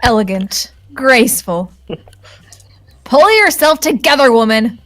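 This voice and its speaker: A woman's voice: fairly high pitch, expressive, measured pace, fairly bright tone. A woman co-playing a visual novel with friends, chatting casually and reading lines in a wry, teasing way. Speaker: co-player in a group playthrough. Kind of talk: casual chat and read-aloud game dialogue.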